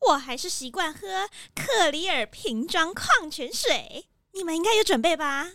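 A woman's voice: very high voice, lively intonation, moderate pace, bright, forceful tone, no room sound, studio quality, heavily acted. A woman playing a fussy, easily panicked female celebrity passenger, theatrical, in a children's audio drama.

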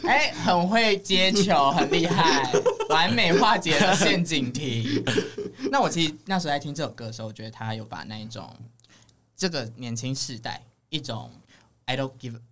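A man's voice: a little high, expressive, moderate pace, fairly bright tone. A man with a Taiwanese Mandarin accent hosting a casual entertainment podcast in a playful, teasing way. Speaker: podcast host.

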